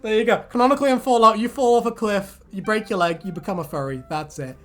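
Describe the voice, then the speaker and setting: A woman's voice: medium pitch, quick pace. A woman co-hosting a casual reaction chat about fan predictions, with a jokey, sweary, giggly manner. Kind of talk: casual banter commentary. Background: music.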